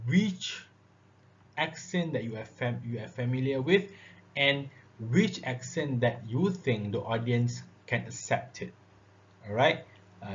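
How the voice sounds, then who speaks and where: A man gives a public speaking lesson, his phrasing broken up by "uh" and a steady "all right". His voice sits at an ordinary middle pitch. The recording is dull and lo-fi, with a faint hiss underneath. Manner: filler-heavy, repeats "all right" and "okay". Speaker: lecturer. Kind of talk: classroom lecture on public speaking.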